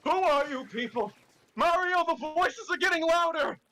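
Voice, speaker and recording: A man's voice: very high voice, normal speed, pushed and bright, voice pushed up, low-quality recording. A man voicing a miserable, whiny, melodramatic cartoon plumber in a comic script reading. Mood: hysterical. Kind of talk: comic fan script read-through.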